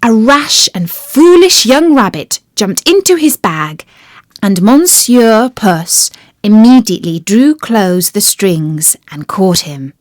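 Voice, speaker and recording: A woman reading a children's fairy tale as a storyteller, with deliberate, expressive phrasing. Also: mid-range voice, no echo, studio quality. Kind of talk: scripted children's storybook narration.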